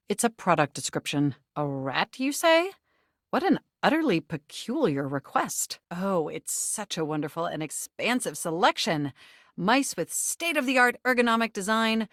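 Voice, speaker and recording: Female actor as a sentient game computer, courteous, literal-minded, affectionate, precise formal diction, animated intonation, dry studio sound. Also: mid-range voice, no echo, lightly acted. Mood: polite, curious. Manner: formal, precise, verbose wording. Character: personable personal computer, polite, literal, pedantic, affectionate. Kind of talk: video game character voice acting.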